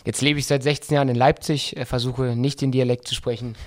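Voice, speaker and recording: A man's voice: mid-range voice, little pitch movement. Middle-aged guy, light berlin accent, football coach, lots of ums and restarts. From Berlin-Brandenburg. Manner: halting, many restarts and fillers. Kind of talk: casual interview.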